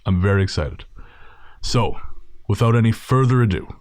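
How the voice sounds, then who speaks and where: A man's voice: fairly low pitch, normal speed, darkish tone. A man hosting a podcast, casually introducing an episode and speaking to listeners in an informal, slangy way. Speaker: podcast host.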